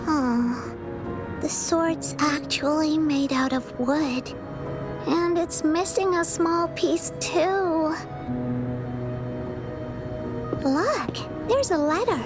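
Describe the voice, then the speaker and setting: A woman's voice: high-pitched, takes it slowly. A woman voicing a timid, earnest young child in a video game, with a hesitant, childlike manner. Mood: timid wonder. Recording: music behind the voice.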